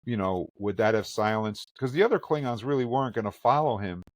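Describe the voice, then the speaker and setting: A man's voice: fairly low pitch, expressive, fairly quick, no room sound, clean studio sound. A man hosting a podcast, chatting casually and calling on each panelist in turn. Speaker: podcast host and moderator. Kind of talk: casual chat.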